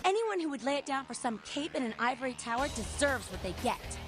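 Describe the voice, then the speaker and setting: A woman's voice: high voice, big pitch swings, fairly quick, over music. An actress playing a hot-headed, combative female vampire gang leader in a video game, brash and sardonic. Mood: confrontational, angry. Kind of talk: video game character acting.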